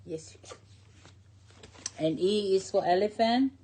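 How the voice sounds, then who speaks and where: Slow-paced woman reading to a child. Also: medium pitch, dark tone. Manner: simple short phrases, draws out key words, points things out with questions. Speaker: storyteller reading to a young child. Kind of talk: picture-book read-aloud for a young child.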